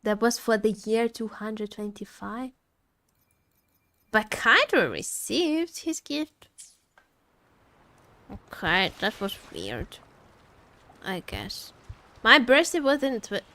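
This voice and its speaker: High-pitched, animated woman streaming a game. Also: slow pace, pauses often. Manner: playfully complaining, repeats phrases, expressive. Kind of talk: casual live gameplay commentary. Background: game music.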